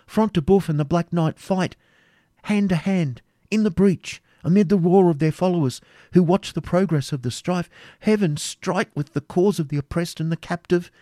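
An anguished young woman , as acted by a male reader, cries out what she sees of a battle in a storybook tale. The pitch is lifted well up, with fervent, breathless repetitions. The sound is very dry. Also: moderate pace, warm tone, dry recording, close to an everyday voice. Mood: frantic. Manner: breathless exclamations, urgent repetition. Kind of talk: dramatic audiobook character reading.

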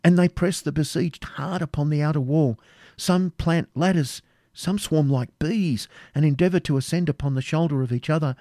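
An anguished young woman , as acted by a male reader, cries out what she sees of a battle in a storybook tale. The pitch is lifted well up, with fervent, breathless repetitions. The sound is very dry. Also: normal speed, warm tone, dry recording, lightly acted. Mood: horrified. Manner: breathless exclamations, urgent repetition. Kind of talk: dramatic audiobook character reading.

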